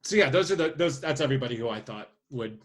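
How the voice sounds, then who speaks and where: A man's voice: medium pitch, animated, fast. A man co-hosting a podcast, chatting casually and informally with a fan's enthusiasm. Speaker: podcast co-host. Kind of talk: casual panel chat.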